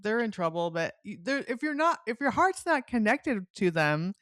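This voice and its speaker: A woman's voice: medium pitch, sing-song, moderate pace, a bit bright, dry recording, clean studio sound. A middle-aged woman hosting a casual book interview, enthusiastic and chatty, often interrupting her own sentences as she rambles. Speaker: podcast host.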